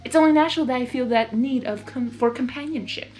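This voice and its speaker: A woman's voice: high-pitched, darkish tone, slight background noise, lightly acted. Girl doing sly chaos spirit guy from a story, smug, theatrical, drags words out to sell his deal. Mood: persuasive, mock reasonable. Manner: theatrical, drawn-out persuasive delivery.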